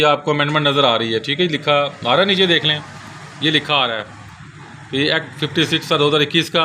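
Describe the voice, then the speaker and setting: A man's voice: on the high side, sharp tone, a little hiss or hum. A man with a Pakistani accent gives a casual, unscripted product overview as a seller, often closing his sentences with a polite tag. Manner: repeats a polite verbal tag at sentence ends. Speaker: bookseller presenting products.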